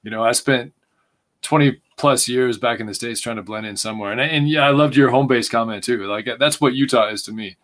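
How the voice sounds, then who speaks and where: A man's voice: mid-range voice, a little fast. A man hosting a podcast, chatting casually with his guest and affirming what he says. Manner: warm, affirming, conversational with frequent restarts. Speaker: podcast host. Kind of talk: casual conversational interview.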